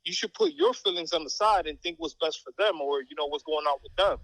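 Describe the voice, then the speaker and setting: Fast-talking bright-voiced man on a phone call. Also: fairly high pitch, big pitch swings, creaky. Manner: laid-back slangy talk, swearing. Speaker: guest cousin on a phone call. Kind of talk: casual phone chat.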